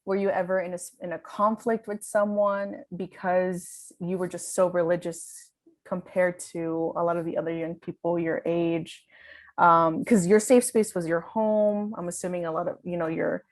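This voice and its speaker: A woman conducting an informal interview, feeling her way through tentative, meandering questions. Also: on the low side. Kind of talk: informal oral history interview.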